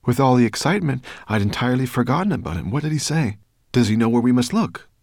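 A man voicing a gentle, noble male dragon in a storybook, earnest and questioning. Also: low-pitched, expressive, normal speed, no echo, clean studio sound. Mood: surprised.

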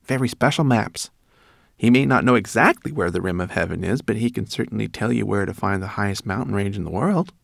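A man's voice: fairly low pitch, brisk, gravelly, no echo, studio quality. A man voicing a fussy, anxious, know-it-all female rat in a storybook, speaking insistently. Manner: fussy, insistent, stammering when flustered.

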